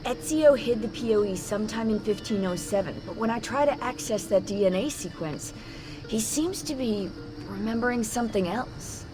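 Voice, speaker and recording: An actress playing a blunt, edgy young technician with an informal manner in a video game cutscene. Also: female voice, fairly high pitch, animated, normal speed, lightly acted. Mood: puzzled. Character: blunt young American tech woman, edgy and irritable. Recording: clear music underneath. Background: music.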